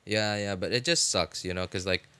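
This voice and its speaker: Bright-voiced man, halting livestream chatter. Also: lowish voice, slight background noise. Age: adult, college graduate. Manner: halting, rambling, repeats and rephrases himself. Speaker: game livestream host. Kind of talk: casual livestream chat. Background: music.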